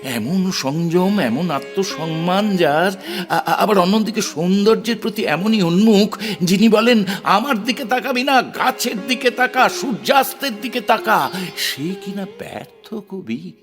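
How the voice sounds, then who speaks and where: An actor playing a married poet, romantic, conflicted and self-questioning, in an emotive, sometimes halting audio drama style. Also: male voice, high-pitched, expressive. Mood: impassioned. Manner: theatrical, emotive, halting at times. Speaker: actor and first-person narrator. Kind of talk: Bengali audio drama acting with introspective narration. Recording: music under the voice. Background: background music.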